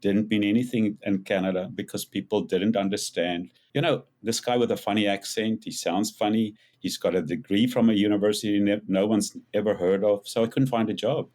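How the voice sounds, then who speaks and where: An older man with a South African accent, a podcast guest telling his story in a lively, animated way. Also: on the low side, brisk, hardly any pauses. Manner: animated storyteller, rises when acting out quotes. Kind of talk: casual interview storytelling.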